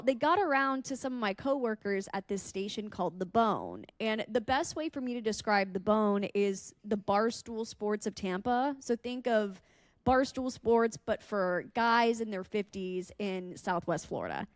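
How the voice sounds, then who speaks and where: A woman's voice: medium pitch, expressive, a little slow, no room sound. An American woman co-hosting a podcast, chatting and telling stories in a casual, joking way. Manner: joking, teasing. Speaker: radio personality and podcast co-host. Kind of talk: casual podcast chat and storytelling.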